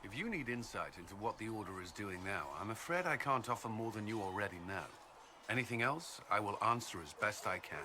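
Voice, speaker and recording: A man's voice: lowish voice, lively intonation, background noise, close to an everyday voice. An actor playing an earnest, dutiful military commander in a fantasy video game, a little hesitant in his speech. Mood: measured, earnest. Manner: hesitant, halting when flustered.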